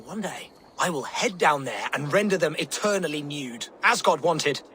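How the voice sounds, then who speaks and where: A flamboyant zealot of a man declaims in a high, theatrical voice. His pitch swoops widely as he preaches with pompous, vengeful glee, video game style, over faint background noise. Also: lively intonation, moderate pace, bright, forceful tone. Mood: aggrieved, vengeful. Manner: pompous, grandiose, florid declamation. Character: fanatical, flamboyant, gleefully vengeful male nudist preacher.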